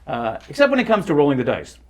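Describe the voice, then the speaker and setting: A male voice actor in relaxed interview chat. His voice sits somewhat high for a man and moves around with animated intonation. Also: fast.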